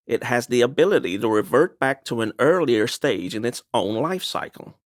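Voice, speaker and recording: Fussy, pedantic conspiracy buff, animated pitch. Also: male voice, dry recording, studio quality. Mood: eager, scholarly. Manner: pedantic, wordy, evasive, prone to grumbling. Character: fussy defensive man, amateur cryptid enthusiast and conspiracy believer. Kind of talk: video game character dialogue.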